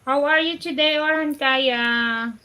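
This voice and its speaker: A woman's voice: high voice, takes it slowly, sharp tone. A Filipino woman whose first language is Waray, hosting a casual livestream and chatting with viewers while she cooks. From Philippines. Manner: chatty, reads out viewer comments and answers them. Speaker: livestream host. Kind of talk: casual live chat while cooking.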